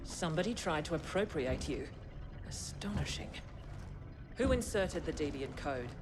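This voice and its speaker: Dry, condescending corporate handler. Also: female voice, low-pitched, animated, moderate pace. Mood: dry, commanding. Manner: precise, dry, commanding. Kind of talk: video game character acting. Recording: loud music behind.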